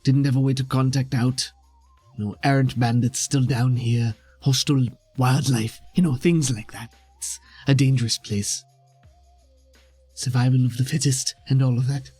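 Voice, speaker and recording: Man as injured game villain: husky, oily, over-polite, faltering delivery. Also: mid-range voice, steady tone, normal speed, a little mellow, dry recording, faint music behind, natural voice. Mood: resigned, evasive. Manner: hesitant, obsequious, overly polite.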